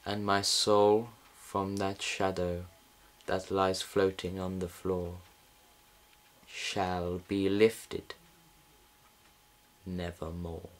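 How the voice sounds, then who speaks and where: A man's voice: low-pitched, flat delivery, drawn-out words, long pauses, rough recording. A man reading a gothic horror poem aloud as an amateur, with solemn, theatrical phrasing. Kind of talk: dramatic gothic poetry recital.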